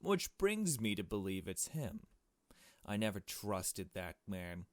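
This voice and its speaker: A man's voice: lowish voice, very animated, slow, no room sound. A man voicing a suspicious, cynical, foul-mouthed young woman sleuth in a visual novel, insistent and blunt. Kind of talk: visual novel dialogue read aloud.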